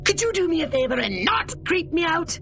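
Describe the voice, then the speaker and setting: A man's voice: extremely high pitch, very animated, a little fast, gravelly, bright, forceful tone, exaggerated voice. An actor playing a pompous, scheming male alien scientist commander in a video game, over music. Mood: scolding. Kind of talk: video game cutscene acting. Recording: game audio with music behind.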